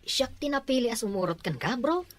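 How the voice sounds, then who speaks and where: A woman voicing an easygoing young male office friend in an audio drama, with husky, bantering, casual delivery. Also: fairly high pitch, animated, a little fast, no room sound, natural voice. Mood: friendly banter. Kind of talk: Ilocano radio-style drama acting.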